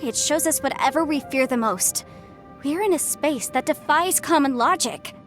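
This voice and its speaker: A composed young heroine played by an actress, with a fairly high voice and formal, careful phrasing. She sounds polite and analytical, like an anime game adventurer, with music playing behind her. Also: natural voice. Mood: thoughtful. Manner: formal, measured, deliberate phrasing.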